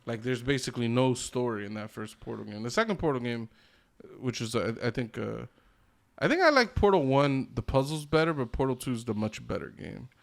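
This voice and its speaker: A man with a medium-pitched voice talks as a podcast co-host. He is casual and opinionated, and he swears freely as he chats. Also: animated, a little fast, gravelly. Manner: opinionated, profane. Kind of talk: casual chat about games and films.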